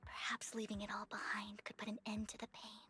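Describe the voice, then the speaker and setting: A woman voicing a gentle, devoted young woman with a sorrowful past in a video game, speaking in a soft, breathy, delicate way. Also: mid-range voice, completely flat delivery, brisk, close to an everyday voice. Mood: calm, wistful. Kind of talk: anime-style video game voice acting. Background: background music.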